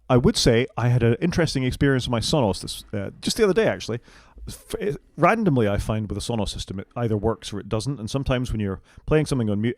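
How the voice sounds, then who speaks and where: Fast-talking British man, low voice. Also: dry recording. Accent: British English. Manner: fast-talking, opinionated, goes down the list item by item. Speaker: podcast co-host. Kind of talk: casual tech chat.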